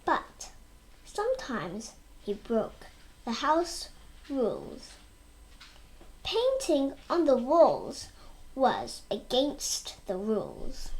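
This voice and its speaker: A child reading a storybook aloud, careful and expressive, word by word. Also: high-pitched. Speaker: reader.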